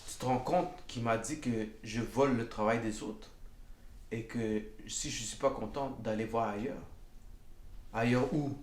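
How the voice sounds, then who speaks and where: A man's voice: medium pitch, fairly even intonation, measured pace, roomy sound, close to an everyday voice. A man playing a bitter, indignant immigrant chemist stuck working as a grocery security guard, in an amateur short film. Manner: indignant, exasperated.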